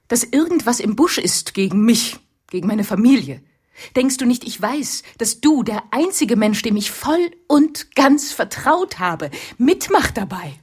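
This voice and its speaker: Husky, breathy proud fiancée. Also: female voice, a little high, very animated, measured pace, full character voice. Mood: accusing, hurt. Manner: tense, controlled, accusing. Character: proud, cold, betrayed fiancée from powerful family. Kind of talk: radio drama dialogue.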